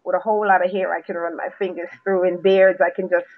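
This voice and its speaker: A woman's voice: on the low side, little pitch movement, fast talker, dry recording. Woman on a tinny phone line. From Jamaica. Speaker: guest poet. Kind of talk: casual interview chat.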